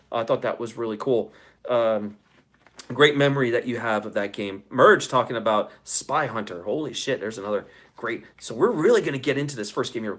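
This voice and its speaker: A man in his forties hosting a casual livestream, reading out audience comments in chummy, profane slang with enthusiasm. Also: mid-range voice. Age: 40s. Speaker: show host.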